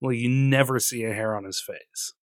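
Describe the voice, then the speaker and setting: This is a man co-hosting a casual podcast, quick to tease and laugh. His voice sits in the middle range. The sound is dry and close, from a studio mic. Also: medium pitch, dry recording. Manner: joking, laughs often, teasing. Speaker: podcast co-host. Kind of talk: casual chat. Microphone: studio mic through a mixer.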